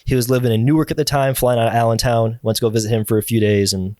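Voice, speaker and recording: An American man chatting casually as a guest, telling work stories in an animated way with acted-out quotes and slang. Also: medium pitch, rapid-fire, no echo, studio quality. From Cleveland, Ohio, United States. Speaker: air traffic controller, podcast guest. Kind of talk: casual conversational storytelling.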